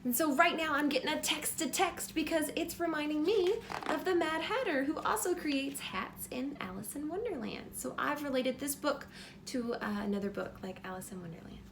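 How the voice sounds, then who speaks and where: A woman reading a children's story aloud like a teacher, pausing to explain simply and ask encouraging questions. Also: sits high, expressive, a bit bright, a little hiss or hum.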